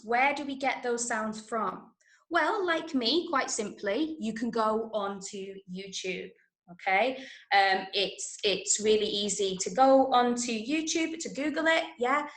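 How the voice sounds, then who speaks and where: A woman's voice: a little high, fairly bright tone. A woman from Manchester with an English accent teaches an online workshop for schoolchildren, encouraging them and often checking in with short tag questions. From Manchester, England. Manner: encouraging, frequent checking "yeah?" and "okay?". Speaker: workshop teacher of radio drama. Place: indoor room, online video call. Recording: roomy, echoing online-call sound.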